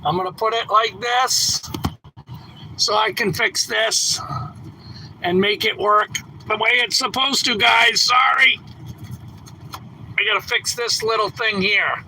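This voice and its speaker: A man's voice: high voice, steady tone, takes it slowly, pauses often, sharp tone, slight background noise, poor audio. A man hosting a casual live stream from inside a truck on his phone, chatty and a bit congested from a lingering cough. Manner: rambling, chatty, fumbling with gear. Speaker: homestead channel host. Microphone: phone camera held in hand.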